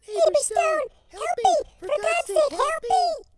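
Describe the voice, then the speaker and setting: A man's voice: very high voice, big pitch swings, slow, no room sound, studio quality, pitched above the performer's own voice, full character voice. A man voicing the small, thin, piping voice of a dead man's soul trapped like a moth, pleading for help. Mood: desperate pleading. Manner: desperate pleading.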